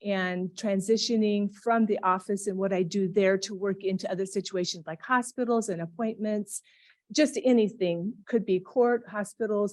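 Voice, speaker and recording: A woman's voice: medium pitch, darkish tone. A woman on a panel, explaining her advocacy and interpreting work in a plain way with some fillers. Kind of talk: panel talk.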